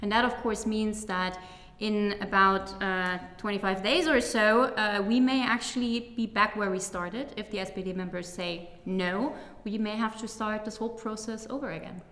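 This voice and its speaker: A woman's voice: mid-range voice, steady tone, moderate pace, fairly bright tone. A woman giving explanatory commentary as a political analyst on a panel, emphatic and precise in how she explains. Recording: echoey, reverberant hall.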